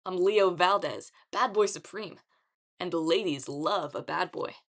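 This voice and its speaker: A woman's voice: lowish voice, a little slow, a bit bright. Casting: female narrator for a brash teenage show-off, swaggering, wisecracking audiobook hero. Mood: brash boasting. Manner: boastful, swaggering. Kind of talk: audiobook character acting.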